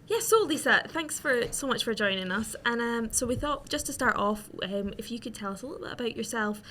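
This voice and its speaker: Higher-voiced female co-host. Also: animated, fairly quick, sharp tone, soft background music. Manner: agreeable, many yeses. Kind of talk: podcast interview.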